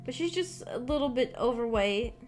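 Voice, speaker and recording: A woman with a fairly high voice chats on a livestream over background music. She talks in a loose, rambling way, pausing and restarting as she answers her viewers. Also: lively intonation, a little mellow. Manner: rambling, hesitant storytelling with frequent "like". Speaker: streamer. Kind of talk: casual livestream chat, reading and answering viewer comments.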